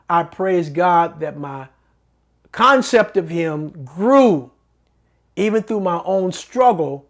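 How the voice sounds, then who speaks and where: An earnest, middle-aged host of a faith-based talk show delivers a teaching monologue. The delivery is preacherly, and key phrases are repeated for emphasis. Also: mid-range voice.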